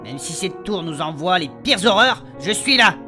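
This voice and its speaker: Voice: mid-range voice, expressive, moderate pace, pushed and bright. An actor playing a devoted man who speaks with earnest bravado in a fantasy audio drama. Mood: heroic bravado. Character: devoted man trying to sound heroic and tough. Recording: prominent music behind.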